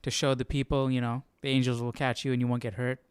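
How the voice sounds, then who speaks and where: A man hosting a Bible study livestream, thinking aloud in a casual, informal way and often halting to search for words. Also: mid-range voice, flat delivery, clear, resonant tone, no room sound.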